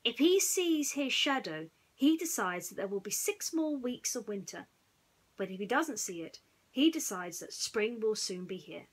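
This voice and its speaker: A woman's voice: a little high, big pitch swings, a little slow, husky, exaggerated voice. A woman voicing a kindly, knowing young boy in a storybook, explaining things patiently.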